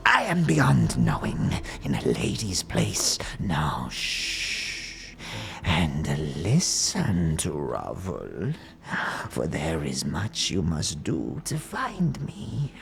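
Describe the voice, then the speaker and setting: A woman playing an ancient, cunning hag in an audio drama, speaking in cryptic riddles with a creaky, cackling voice that slips into breathy whispers. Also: rumbling low, wildly swooping pitch, drawn-out words, slight background noise, full character voice. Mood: crooning, commanding. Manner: cryptic, archaic inverted phrasing, lingering and theatrical. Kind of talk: theatrical audio drama acting.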